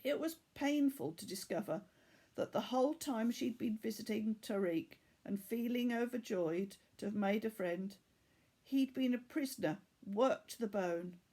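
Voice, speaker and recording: A woman's voice: fairly high pitch, unhurried, warm tone. A woman reading a children's novel aloud as a teacher would to a class, in scripted storybook narration. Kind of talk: audiobook-style scripted narration.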